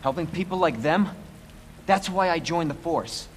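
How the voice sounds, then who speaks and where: An actor playing an earnest young male rookie cop, steady and earnest with brief firm calls. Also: a little high, moderate pace, a little hiss or hum, natural voice.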